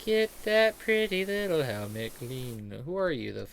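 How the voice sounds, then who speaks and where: A man's voice: fairly high pitch, big pitch swings, moderate pace, low-quality recording. Crude, jokey man over noticeable noise. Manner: crude, jokey, rambling, sweary; acts out quoted remarks. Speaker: game streamer. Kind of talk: casual gaming commentary and chat. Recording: clear background noise.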